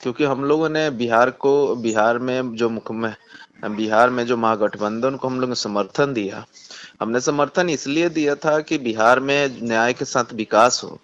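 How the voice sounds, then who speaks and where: A man with a Bihari accent speaking as a politician in a phone interview, insistent, repeating himself and halting as he argues. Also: medium pitch, fairly bright tone. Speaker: communist party politician. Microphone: phone call. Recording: dull lo-fi phone call recording.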